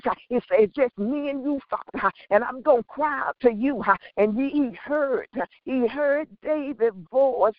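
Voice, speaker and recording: A woman's voice: high-pitched, no echo, phone-quality sound. A woman preacher delivering a fervent, extemporaneous Pentecostal-style sermon in short, emphatic, repeated phrases, punctuated with "huh" and praise interjections.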